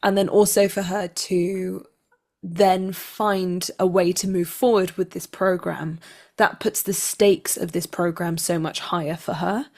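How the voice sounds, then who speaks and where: Woman actor chatting in an interview, lowish voice, reflective and articulate. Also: fairly even intonation, unhurried, darkish tone. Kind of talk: thoughtful interview conversation.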